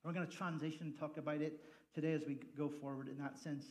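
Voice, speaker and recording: A man's voice: on the high side, level tone, fast talker. A man, a pastor, opening a church service with jokes, then speaking in a chatty, casual way. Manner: joking, chatty, loud laughing asides. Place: church sanctuary.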